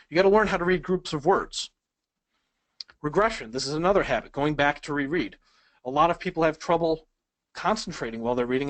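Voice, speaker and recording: A man's voice: fairly high pitch, no echo. A man whose first language is Polish, teaching speed reading in a conversational webinar and asking the audience questions. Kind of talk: webinar lesson.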